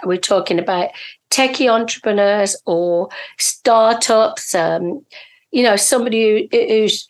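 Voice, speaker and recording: A middle-aged woman hosting a podcast interview, enthusiastic and chatty, her voice slightly hoarse. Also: medium pitch. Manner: enthusiastic, chatty, many fillers. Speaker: podcast host. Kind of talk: casual interview.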